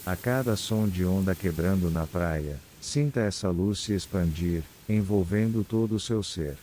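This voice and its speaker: Deep, mellow Brazilian man guiding meditation. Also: a little hiss or hum. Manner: calm, soothing, unhurried with long pauses. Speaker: meditation guide. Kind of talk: scripted guided meditation. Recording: clear background ambience of ocean waves. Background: sea waves ambience.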